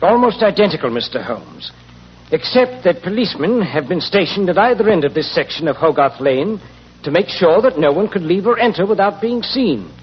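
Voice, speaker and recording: An actor playing a nervous, genteel British banker, hesitant and stammering, in the manner of 1940s radio drama. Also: male voice, sits high, very animated, moderate pace. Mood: explanatory, formal. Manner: hesitant, fussy, polite, stammering. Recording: muffled, hissy, reverberant 1940s radio recording.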